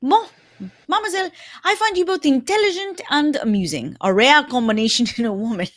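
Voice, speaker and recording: Woman playing a courtly French-accented male detective, lively swoops. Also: high voice, fairly quick. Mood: courtly, amused. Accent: French, put on. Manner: formal, precise, courtly phrasing. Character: dapper, self-assured, courtly male European detective. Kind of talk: live old-time radio drama reading.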